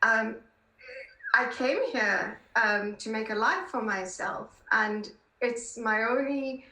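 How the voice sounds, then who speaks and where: A woman's voice: on the high side, takes it slowly, bright, forceful tone, slight background noise. A woman in her fifties with a South African accent, speaking emphatically and effusively as an interview guest. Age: 50s. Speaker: podcast guest, political columnist. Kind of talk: conversational interview answers. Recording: roomy, echoing sound.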